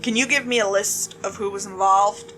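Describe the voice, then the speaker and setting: A woman's voice: medium pitch, steady tone, fairly bright tone, faint music behind, natural voice, low-quality recording. A woman voicing a weary, businesslike detective who questions a suspect firmly in a horror story. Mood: probing, businesslike. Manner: brisk, firm, probing. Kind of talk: dramatic horror story reading.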